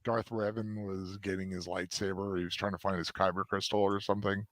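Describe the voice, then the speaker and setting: A man's voice: low voice, flat delivery, a little fast, a bit bright, no echo. A man co-hosting a casual review chat, teasing his friend in a playful way. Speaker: co-host.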